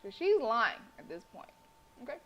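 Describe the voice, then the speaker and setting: Animated woman streaming a game. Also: fairly high pitch, normal speed. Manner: animated, sassy, colloquial. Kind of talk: live playthrough reading and reacting aloud. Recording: faint background noise.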